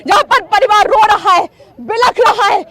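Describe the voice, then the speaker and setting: Woman, very high voice, TV field reporter, confrontational, agitated, insistent, repetitive, emphatic. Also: normal speed, background noise. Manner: insistent, agitated, repeating demands and questions; impassioned, emphatic, often raising her voice. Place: outdoors on a blocked road.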